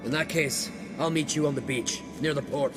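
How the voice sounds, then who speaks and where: Guy doing brash young island warrior for a game, voice on the higher side, clipped folksy talk, music behind. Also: normal speed, lightly acted. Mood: hearty, grateful. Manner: clipped, hearty, folksy phrasing. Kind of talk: video game voice acting.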